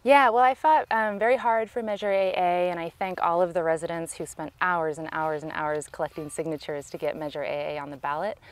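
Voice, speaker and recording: A woman with a Californian American accent giving measured, explanatory answers in a conversational interview as a local political candidate, recorded outdoors. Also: fairly low pitch, fairly even intonation. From California, United States. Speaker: city council candidate, interviewee. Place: outdoors in a park.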